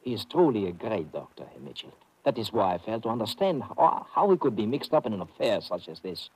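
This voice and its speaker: An earnest, anxious German man as a doctor in a 1950s radio drama. His formal, hesitant speech swings widely in pitch and repeats itself. There is faint noise on the old recording. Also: sing-song, fairly quick. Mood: earnest, uneasy. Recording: slightly noisy old radio broadcast.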